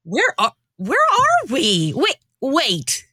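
A bright, high-pitched woman acting as a sleepy, exasperated heroine of a comedic radio play. Her delivery is dramatic and flustered, swinging widely in pitch, and the recording is dry and close. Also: huge pitch swings, slow, no echo, exaggerated voice. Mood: reluctant, bewildered. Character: flustered, sleepy, guilt-ridden woman haunted on Christmas night.

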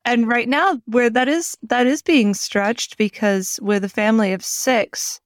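A woman co-hosting a podcast, chatting casually in a measured, reflective way. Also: a little high, no room sound, studio quality. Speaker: podcast co-host. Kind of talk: casual chat.

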